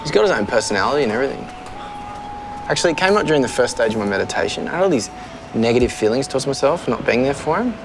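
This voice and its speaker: A man in his thirties brags smugly and quickly about his spiritual growth. His voice is gravelly, and loud street noise from an outdoor cafe sits behind it. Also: medium pitch, sing-song. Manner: smug, self-satisfied spiritual bragging. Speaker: comedy sketch character. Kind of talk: scripted comedy sketch.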